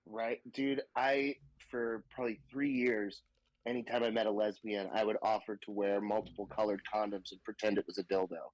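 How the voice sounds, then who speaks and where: A man's voice: lowish voice, moderate pace, no echo, low-quality recording. Laughing, crude young man comic. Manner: laughs often, crude and animated. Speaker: stand-up comedian guest. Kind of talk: casual podcast chat. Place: Austin, Texas.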